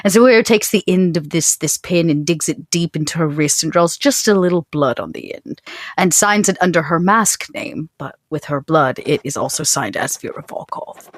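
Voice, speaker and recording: Low-voiced woman, tabletop player. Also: lively intonation, moderate pace, no room sound, studio quality. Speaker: player in actual play podcast. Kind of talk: casual improvised game talk and narration.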